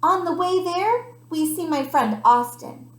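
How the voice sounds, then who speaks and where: A woman voicing an earnest young child who narrates a picture-book story with expressive emphasis. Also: very high-pitched, measured pace, voice pushed up. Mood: eager. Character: earnest, dramatic young child telling a pet goldfish story. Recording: roomy, echoey sound.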